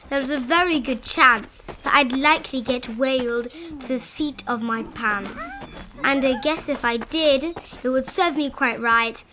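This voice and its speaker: High-voiced woman reading slowly to children. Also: a little hiss or hum, low-quality recording. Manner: expressive, dramatizing the story. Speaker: read-aloud narrator of children's picture books. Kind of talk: animated children's storybook read-aloud.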